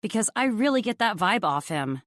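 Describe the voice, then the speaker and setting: A woman's voice: medium pitch, big pitch swings, normal speed, a bit bright, no room sound, clean studio sound, pitched above the performer's own voice. A woman voicing a blunt, nosy young female best friend in an audiobook, gushing and teasing in exclamations. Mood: playful, prying. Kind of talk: audiobook character dialogue.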